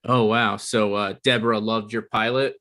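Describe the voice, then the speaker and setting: An actor playing an awkward, eager, put-upon young job seeker with a halting, flustered way of talking in a comedy table read. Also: male voice, on the low side, level tone, bright, forceful tone. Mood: eager, hesitant.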